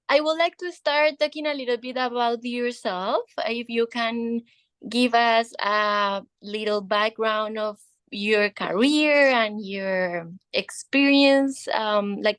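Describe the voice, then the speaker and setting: A woman's voice: on the high side, smooth. A woman hosting a podcast, reading an introduction and asking questions in English with small slips. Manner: reads intro, speaks English as a second language with small slips. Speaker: podcast host.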